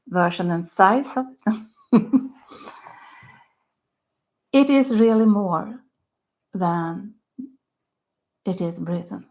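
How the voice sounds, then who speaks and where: Older Swedish woman with gravelly voice. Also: medium pitch. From Sweden. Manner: halting, slow-spoken, drops to soft near-whispers at phrase ends. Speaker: author. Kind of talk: self-recorded book talk.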